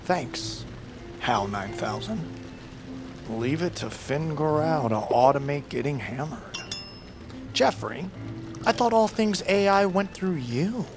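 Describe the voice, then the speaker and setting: An actor playing a boisterous, showy survivalist turned internet breathing guru in an audio drama. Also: male voice, on the high side, animated, slow, long pauses. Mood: wry. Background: music.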